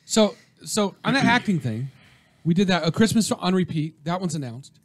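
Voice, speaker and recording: A man hosting a talk show, animated and jokey, with a theatrical flair. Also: high voice, moderate pace. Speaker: host. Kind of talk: casual talk show chat.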